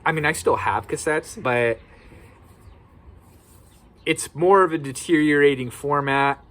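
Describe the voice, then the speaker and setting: A man's voice: fairly high pitch, unhurried, a bit bright. A man with an American accent talking casually as a video host, plain-spoken and opinionated. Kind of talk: casual informal video talk about collecting. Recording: clearly noisy background ambience.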